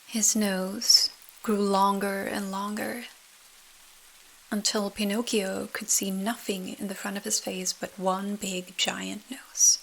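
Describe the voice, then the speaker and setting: A woman with a soft, breathy near-whisper narrating an ASMR bedtime story close to the mic. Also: mid-range voice, level tone, slow pace, rough recording. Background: rain sounds.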